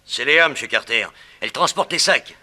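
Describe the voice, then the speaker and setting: An actor playing a sly, vengeful gangster in a 1960s French radio crime serial, with an excitable, sneering, slangy delivery. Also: male voice, mid-range voice, brisk. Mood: frantic excitement. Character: gloating, vengeful male gangster, sly villain back from the gallows.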